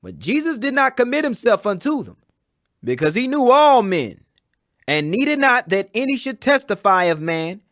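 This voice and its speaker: A man with a notably high voice reads scripture in a solemn, dramatic audiobook style. His pitch moves widely as he gives weight to the words, and the sound is dry and close, free of room echo. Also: big pitch swings, moderate pace, fairly bright tone. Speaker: scripture reader. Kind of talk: dramatic audiobook Bible reading.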